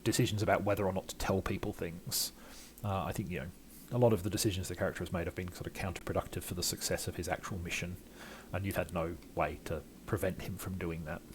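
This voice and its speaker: A man's voice: low-pitched, steady tone, quick pace, noisy background. An older man hosting a game playthrough with casual, rambling, self-deprecating commentary. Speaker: gaming video host.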